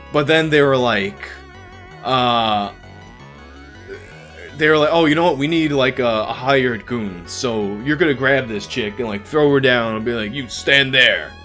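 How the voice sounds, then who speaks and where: A man's voice: medium pitch, a bit bright. A young man answering viewer questions on a livestream, talking in a candid, rambling, profane way. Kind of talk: casual livestream Q&A.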